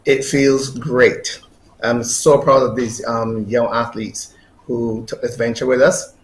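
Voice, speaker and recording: A man's voice: mid-range voice, little pitch movement, slow. A man, an athletics coach answering interview questions. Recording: roomy echo, faint background noise.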